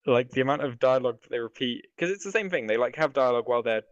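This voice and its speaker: Male co-host, mid pitch, dull lo-fi track, casual, tentative, mildly sweary conversation. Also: expressive, racing, dry recording. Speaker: podcast co-host. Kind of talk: casual chat about films and games.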